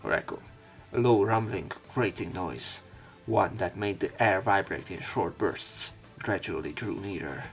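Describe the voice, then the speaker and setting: Male let's player, lowish register, reads story text and tosses in irreverent casual remarks, game music underneath. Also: a little slow. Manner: irreverent, swearing offhand. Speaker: let's play commentator and narrator. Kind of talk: reading a visual novel aloud with casual commentary.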